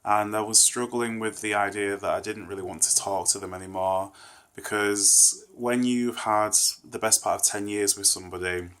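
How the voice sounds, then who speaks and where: A man in his thirties sits with a camera and talks his thoughts through in a thoughtful, meandering way. His voice sits deep and stays level, with little rise or fall. A cold leaves him sounding congested and nasal. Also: fairly even intonation, moderate pace, fairly bright tone, clear, resonant tone. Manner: thoughtful, rambling, earnest. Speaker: vlogger. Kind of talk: casual reflective rant to camera.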